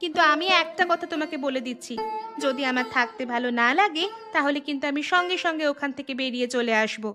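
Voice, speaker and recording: A woman's voice: high-pitched, fast, music in the background, natural voice. A woman voicing a stubborn young city wife in a cartoon, pouty and complaining. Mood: sulky.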